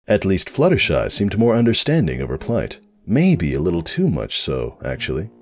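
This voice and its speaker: A man's voice: low-pitched, huge pitch swings, moderate pace, dry recording, studio quality. A man narrating a comic story as a dramatic reading.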